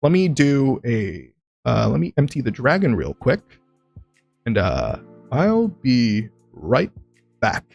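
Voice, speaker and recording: Slow, rambling Filipino man streamer. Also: mid-range voice, wildly swooping pitch, vocal fry, a little mellow, muffled recording, soft background music. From Philippines. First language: other than English. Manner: rambling, laughs often, many restarts. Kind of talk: casual chat about voice acting.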